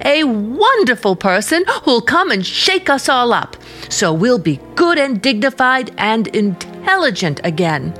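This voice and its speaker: Dreamy small-town teen girl, radio drama, swooping delivery, unhurried, music behind. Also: on the high side, very animated, fairly bright tone, exaggerated voice. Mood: earnest, determined. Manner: earnest, gushing, dreamy. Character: eager, idealistic small-town teenage girl, adoring niece. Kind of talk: radio play acting.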